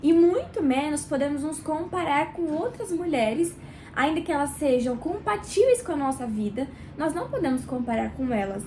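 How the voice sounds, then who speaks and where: A woman with a Brazilian Portuguese accent hosts a book club video in her small kitchen, chatting warmly and directly to the viewer, nasal and stuffed up from a cold. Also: sits high, lively intonation, slight background noise. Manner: warm, chatty, addresses viewer directly with pauses. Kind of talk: casual devotional reading vlog.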